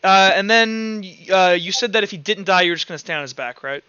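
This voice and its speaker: Male game master, bright forceful voice, halting improvised narration and rules calls. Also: high-pitched, smooth, no echo, dull audio. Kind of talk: narrating and refereeing a tabletop roleplaying game.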